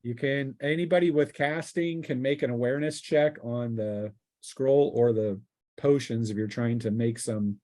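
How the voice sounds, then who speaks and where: A man with a medium-pitched voice runs a tabletop role-playing game. He improvises his narration as game master, feeling his way through descriptions with halting, thinking-aloud delivery. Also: steady tone, warm tone.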